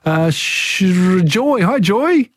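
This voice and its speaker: A man's voice: sits high, lively intonation, very slow. An Australian man hosting a talkback radio quiz, chatting with callers in an upbeat way. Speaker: radio host.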